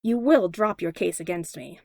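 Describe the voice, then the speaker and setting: A woman voicing a large, menacing male hero who growls his demands slowly. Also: on the low side, creaky, a little mellow, no room sound, clean studio sound, pitched below the performer's own voice. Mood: demanding. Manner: growling, slow and threatening. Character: big menacing male pro hero, gruff.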